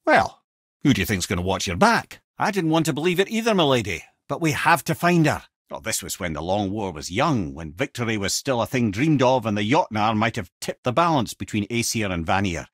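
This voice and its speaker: Husky, theatrical old Scottish sage. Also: male voice, fairly low pitch, very animated, fairly quick, dry recording, studio quality. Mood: solemn storytelling. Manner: theatrical, wry, eloquent. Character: Mimir, witty old talking head, learned storyteller and loyal companion. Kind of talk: video game character dialogue, lore storytelling.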